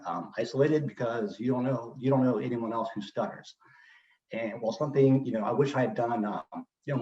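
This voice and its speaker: A man's voice: medium pitch, darkish tone. A man who stutters, giving a casual personal talk about his experience, with fillers and light repetitions. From Texas, US. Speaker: presenter at a speech celebration. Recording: roomy, distant, dull sound.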